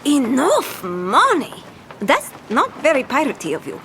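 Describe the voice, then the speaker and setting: A woman's voice: high voice, huge pitch swings, measured pace, a bit bright, a little hiss or hum, exaggerated voice. A woman playing a brash, fame-hungry pirate captain who talks in theatrical old-time pirate speak in a scripted audio adventure. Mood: eager, commanding. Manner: theatrical, mock-archaic pirate talk.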